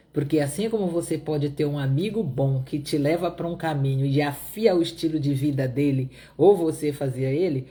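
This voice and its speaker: A woman's voice: deep voice, dark tone. A middle-aged woman from Brazil's Northeast with a Pará accent, teaching on a Bible proverb in a conversational way, often asking her listeners "né?". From Brazilian Northeast (Piauí). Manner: conversational, repeats points, asks listeners "né?".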